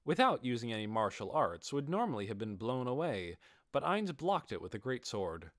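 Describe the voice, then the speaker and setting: A man's voice: mid-range voice, dry recording, clean studio sound. A man narrating a fantasy audiobook in a dramatic, scripted style.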